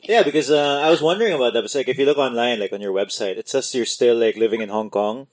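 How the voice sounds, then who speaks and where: A man hosting an interview, asking casual questions that halt and restart. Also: mid-range voice. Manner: halting, stammering questions. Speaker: interviewer, host.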